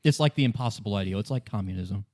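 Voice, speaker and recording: A man hosting as game master, narrating a story in a halting, conversational way and repeating words. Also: on the low side, a little mellow. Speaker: game master and podcast host. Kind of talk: casual improvised narration of a tabletop-style story.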